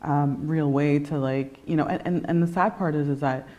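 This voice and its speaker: Woman host, low mellow voice, supportive, lots of mm-hmms. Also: fairly even intonation, moderate pace. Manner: supportive, affirming with soft backchannels. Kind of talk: interview talk show.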